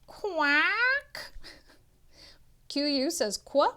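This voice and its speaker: A woman reads a picture book aloud as a tutor would for children. Her voice is high and very animated, slowing right down on key words and explaining as she goes. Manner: expressive, animated, instructional, with drawn-out words. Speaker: literacy tutor reading aloud.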